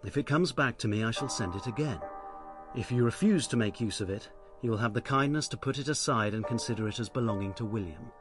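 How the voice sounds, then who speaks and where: A man's voice: medium pitch, fast, close to an everyday voice. Man acting a proud, bitter English writer, music behind. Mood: cold, formal. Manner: formal, stiff, wounded dignity. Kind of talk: period radio drama acting.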